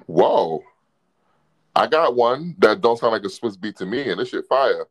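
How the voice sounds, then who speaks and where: A man with a low voice that swings widely in pitch as he talks. He keeps quizzing and needling the other speaker in a playful, casual way. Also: sing-song, unhurried. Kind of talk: casual chat.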